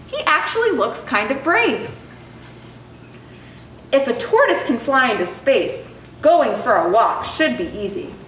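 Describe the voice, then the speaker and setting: A woman reading a children's picture book aloud in an expressive, animated storytelling style. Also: on the high side. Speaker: storytime reader. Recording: roomy echo, distant mic, faint noise.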